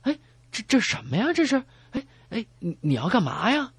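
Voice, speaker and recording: A woman's voice: on the high side, big pitch swings, a little fast, breathy edge, dry recording, exaggerated voice. A woman voicing a suspicious, bossy pet shop clerk in a radio comedy sketch, in a nagging, demanding way. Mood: puzzled, alarmed.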